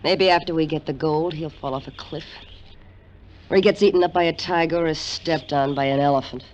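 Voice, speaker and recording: An actress playing a bored, scheming American wife, a petulant and seductive femme fatale in a 1950s radio drama. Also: female voice, deep voice, steady tone, a little fast, a little mellow, dull audio, natural voice. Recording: noisy vintage radio recording.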